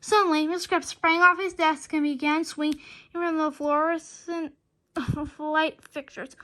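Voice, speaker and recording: A woman reading a children's story aloud in an expressive way, now and then stumbling over words. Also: high voice, unhurried. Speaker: read-aloud narrator.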